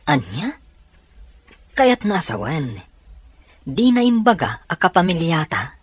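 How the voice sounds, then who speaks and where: A man playing a cocky, skirt-chasing young son in a radio drama, husky, with an Ilocano accent. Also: on the high side, wildly swooping pitch, takes it slowly, full character voice. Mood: surprised.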